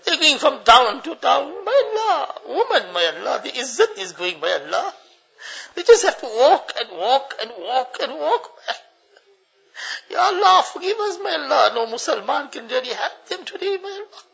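A man's voice: very high-pitched, sing-song, moderate pace, vocal fry, pushed and bright. An older man leads a tearful congregational prayer, pleading and repeating each plea with a refrain, his voice choked with tears. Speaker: imam leading a supplication. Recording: dull lo-fi recording.

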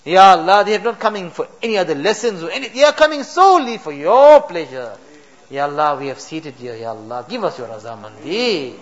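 A man's voice: high-pitched, very animated, fairly quick, muffled recording. A man leading an emotional supplication in a mosque, pleading and rising to impassioned cries.

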